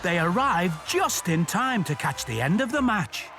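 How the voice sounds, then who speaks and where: Very animated, high-voiced male children's storyteller. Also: unhurried, music in the background. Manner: expressive, clearly enunciated for young children.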